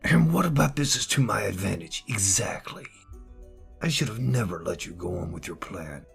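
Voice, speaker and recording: A man's voice: lowish voice, expressive, normal speed, creaky, husky, soft background music, heavily acted. A man playing a proud, irritable leader in an audio drama, with terse, grudging delivery. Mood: aggrieved. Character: proud, irritable male leader, begrudging and wary. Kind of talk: scripted audio drama dialogue.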